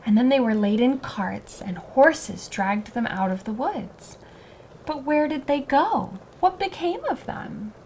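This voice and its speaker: A woman's voice: a little high, expressive, takes it slowly, a little mellow, slight background noise. A woman narrating a scripted children's story as a storyteller.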